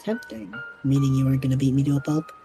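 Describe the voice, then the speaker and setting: A woman's voice: extremely low pitch, lively intonation, quick pace, gravelly, over music, full character voice. A woman playing a brash, sarcastic young wanderer in an audio drama, talking casually. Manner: casual, flippant. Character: brash, sarcastic young wanderer named Trevor, warm toward kids.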